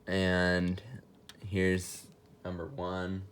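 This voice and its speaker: A man's voice: deep voice, completely flat delivery, very slow. A man giving a casual, unscripted technical walkthrough in a matter-of-fact, explanatory way, as a hobbyist showing equipment. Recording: noticeable background noise with clicks.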